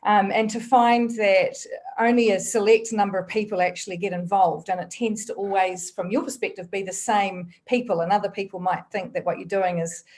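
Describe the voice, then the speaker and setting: New Zealand woman, guest presenter on a video call, quick and chatty, mid-range voice. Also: mid-range voice, fairly even intonation, a little mellow. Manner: quick, chatty, with frequent fillers and restarts. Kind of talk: online workshop presentation.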